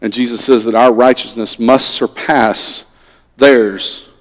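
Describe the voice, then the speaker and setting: Middle-aged guy preaching at the pulpit, gets loud then drops almost to a whisper, long church echo. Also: mid-range voice, slow pace. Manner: swings from loud and animated to hushed, near-whispered lines. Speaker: pastor. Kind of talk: sermon. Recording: long reverb of a church hall.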